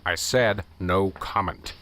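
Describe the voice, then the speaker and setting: A man's voice: sits low, slow, heavy background noise. An actor playing an exasperated male police sergeant in an amateur radio drama. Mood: weary. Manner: curt, exasperated. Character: gruff weary male small-town police sergeant.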